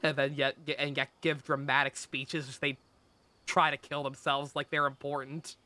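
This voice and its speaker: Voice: mid-range voice, flat delivery, moderate pace, pushed and bright, dry recording. A game streamer chatting casually over gameplay on a live stream, sarcastic, joking and sweary.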